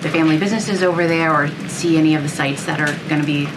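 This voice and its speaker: A woman's voice: deep voice, steady tone, fast. A woman reporter asking a question at a press briefing. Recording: noisy room, away from microphone.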